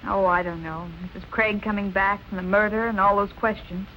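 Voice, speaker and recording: A woman's voice: mid-range voice, fairly even intonation, brisk, sharp tone, slight background noise, natural voice, poor audio. An actress playing an anxious, guarded young woman in 1940s film noir style, polite and earnest. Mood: guarded, nervous. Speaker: actor.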